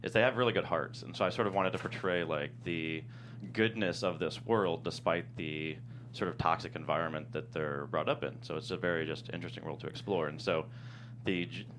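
Low-voiced male writer, halting delivery. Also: steady tone, a bit bright, slight background noise. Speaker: writer, guest. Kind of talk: casual interview explanation. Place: studio.

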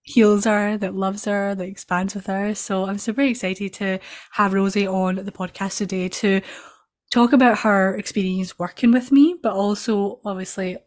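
A woman's voice: mid-range voice, steady tone, moderate pace. A woman hosting a podcast in a gushing, enthusiastic way, often repeating her words as she goes. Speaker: podcast host. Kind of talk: casual podcast chat.

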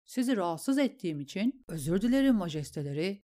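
A woman's voice: mid-range voice, sing-song, fast talker, mellow, close to an everyday voice. A woman voicing a nervous male palace guard who speaks apologetically and hesitantly. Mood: apologetic.

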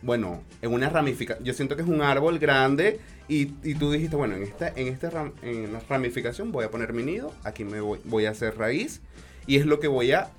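A man's voice: mid-range voice, expressive, fairly quick, faint music behind. A man hosting a live radio interview in a conversational way, often repeating and restarting his phrases. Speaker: radio show host.

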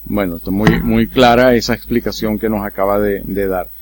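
A man from the Dominican Republic, with a Dominican accent, interviewing a guest with polite, measured questions. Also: fairly low pitch, fairly even intonation, no room sound. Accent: Dominican Spanish. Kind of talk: radio interview.

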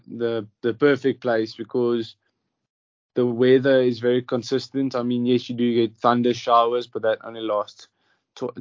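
A young South African man with an Afrikaans background answers questions in a flat, hesitant voice, stopping often to say um and restart. The recording is dry and somewhat dull, with little room sound. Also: mid-range voice, dry recording. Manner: hesitant, many ums and restarts. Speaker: professional golfer, interviewee. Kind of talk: casual interview answers.

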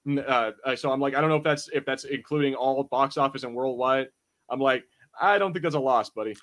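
A man's voice: medium pitch, fairly quick, a bit bright. Informal man hosting a podcast. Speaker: podcast host. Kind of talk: casual chat.

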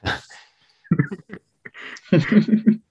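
A young man with a Mexican accent chatting casually with friends, relaxed and slangy, laughing often. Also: extremely slow, dull audio. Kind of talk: casual chat among friends.

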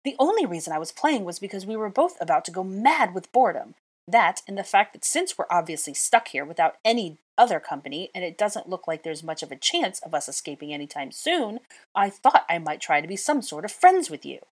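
A woman voicing an indignant, clever young witch who breaks into shrill, exasperated outbursts. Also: big pitch swings, moderate pace, few pauses, husky. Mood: furious. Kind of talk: audiobook character voice.

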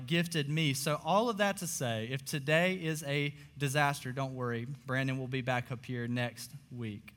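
Young man, pastor, slightly hoarse, earnest sermon, fairly high voice, long hall reverb. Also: fairly bright tone. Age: young adult. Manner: earnest, many "uh" fillers. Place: church auditorium.

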